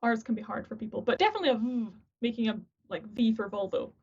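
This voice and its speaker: A woman with a fairly high voice chats on a video call, explaining vocal technique. She often restarts her sentences and pokes fun at herself. The audio is dull and lo-fi. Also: expressive, fast talker, darkish tone, breathy edge. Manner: restarts sentences, chatty and self-deprecating. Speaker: guest, opera singer and voice teacher. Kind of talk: casual video-call conversation.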